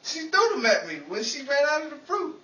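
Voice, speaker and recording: Echoey far-off recording, guy acting an excitable scatterbrained schemer from a 40s radio comedy, high voice. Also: a little fast, exaggerated voice. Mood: defensive. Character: Dennis, well-meaning scatterbrained man, forever in trouble. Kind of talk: 1940s old-time radio comedy acting. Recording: echoey, distant, noisy room recording.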